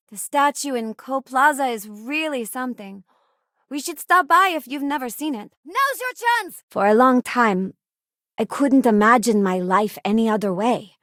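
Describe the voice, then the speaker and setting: A woman's voice: on the high side, fairly bright tone, no echo, studio quality. An actress playing an earnest, loyal and idealistic young woman crewmate in a space video game, speaking conversationally. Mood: friendly, upbeat, then urgent combat shouts.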